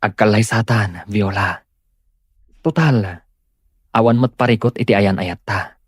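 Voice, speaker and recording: Man acting a husky, sincere young suitor. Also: lowish voice, a little slow, dry recording, studio quality. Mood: earnest, determined. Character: sincere, determined young suitor of modest means. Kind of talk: Ilocano audio drama acting.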